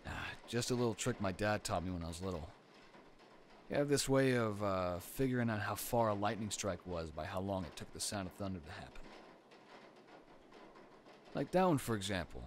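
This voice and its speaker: Man acting tender, teasing boyfriend, animated pitch. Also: normal speed, long pauses, a little hiss or hum, natural voice. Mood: teasing, reminiscing. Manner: soft reassuring talk with sighs and teasing. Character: caring, gently teasing young boyfriend comforting partner during storm. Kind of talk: intimate boyfriend roleplay audio drama.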